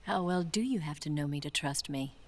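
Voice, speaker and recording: Coy, low-voiced female spy. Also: a little fast. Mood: coy, teasing. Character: flirtatious, cool female spy and guide. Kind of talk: cinematic video game acting. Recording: clearly noisy background.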